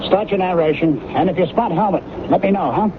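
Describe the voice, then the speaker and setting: Actor as edgy producer on tinny old radio. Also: male voice, high voice, brisk, background noise, natural voice. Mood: tense, commanding. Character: determined, edgy male producer running a broadcast. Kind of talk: old-time radio drama acting.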